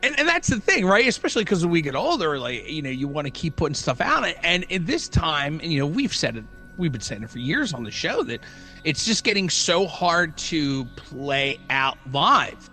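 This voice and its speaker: A man's voice: on the high side, sing-song, normal speed, sharp tone. A middle-aged man co-hosting a music podcast in casual conversation. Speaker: podcast co-host.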